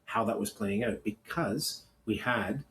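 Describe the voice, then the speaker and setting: A Canadian man co-hosting a podcast, talking casually and with opinion, in a halting, rambling way with self-corrections. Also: lowish voice, a bit bright. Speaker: podcast co-host. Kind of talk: opinionated casual podcast talk, partly reading notes.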